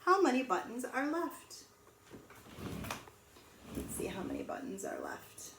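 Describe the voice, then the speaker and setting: A woman teacher reading a storybook aloud to young children in an animated, sing-song way, pausing to ask them questions. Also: fairly high pitch, gravelly. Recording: echoey room with faint noise.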